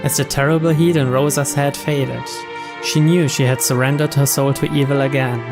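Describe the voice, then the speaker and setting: A man's voice: medium pitch, level tone, moderate pace, over music. A man reading scripted story narration aloud from a visual novel as a let's player.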